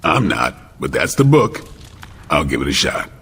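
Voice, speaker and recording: A man's voice: rumbling low, big pitch swings, a little slow, fairly bright tone, slight background noise, heavily acted. A man playing a composed, fair-minded superhero leader in a video game, recorded with a hall-like reverb and music behind. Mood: reluctant, easygoing. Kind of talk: video game cutscene acting.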